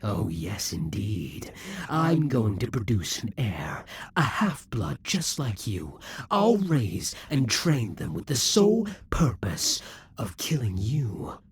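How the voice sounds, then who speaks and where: A man playing a vengeful, defeated demon king in an audio roleplay, taunting and grandiose, with a husky, breathy voice that often drops to whispers. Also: fairly low pitch, huge pitch swings, heavily acted. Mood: gloating menace. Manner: grandiose, menacing, deliberate dramatic delivery. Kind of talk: scripted audio roleplay monologue.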